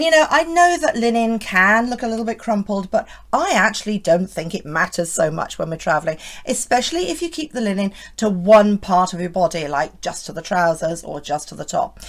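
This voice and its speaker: Hoarse woman, fifties, chatty style vlogger, lively up-and-down delivery. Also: mid-range voice. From United Kingdom. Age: 50s. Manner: chatty, reassuring, often asks the viewer "isn't it". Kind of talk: friendly scripted fashion vlog.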